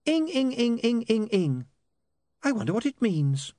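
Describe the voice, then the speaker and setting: A man's voice: extremely high pitch, big pitch swings, a little slow, dark tone, no room sound, voice pushed up, heavily acted. A man voicing a prim, dreamy young woman reporter who can turn ferocious, in an audiobook.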